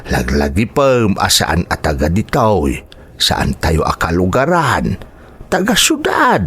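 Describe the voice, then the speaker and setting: A man with an Ilocano accent playing a concerned, hesitant old father in an audio drama, in a husky voice. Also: low-pitched, wildly swooping pitch, measured pace, fairly bright tone, full character voice. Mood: worried. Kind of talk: Ilocano radio-style drama acting.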